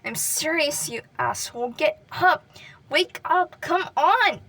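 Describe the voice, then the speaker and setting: A woman voicing a furious, grieving, hot-tempered young man who yells and curses at an unmoving friend, his voice breaking. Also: on the high side, moderate pace, slightly rough, far from the mic. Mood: furious yelling. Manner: yelling, cursing, voice breaking. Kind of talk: dramatic fan fiction reading.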